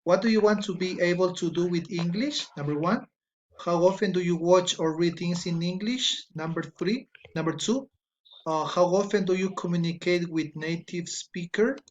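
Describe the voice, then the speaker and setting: A man's voice: high-pitched, lo-fi sound, a little hiss or hum. A man from Ecuador with an Ecuadorian Spanish accent, a native Spanish speaker, teaching an online English class, reading student answers aloud and patiently correcting them. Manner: slow, patient, corrects spelling and grammar aloud.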